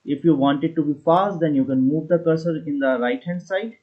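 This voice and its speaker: A man giving a casual step-by-step software tutorial as an instructor. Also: on the high side, a little fast. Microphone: voice-over for screen recording. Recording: dull lo-fi voice recording.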